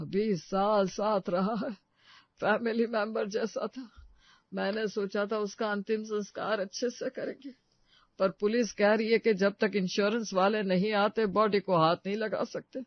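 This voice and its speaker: A man's voice: high-pitched, fairly even intonation, unhurried, no echo, dull audio. A man voicing an earnest, placating elderly father in grief for a Hindi cartoon moral story. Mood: sorrowful. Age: older. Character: grieving, placating elderly family father mourning his Bittu.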